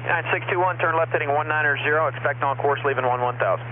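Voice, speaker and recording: Male departure controller, fairly high voice, brisk clipped calls, tinny hissy radio. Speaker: air traffic departure controller. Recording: tinny radio channel with static hiss.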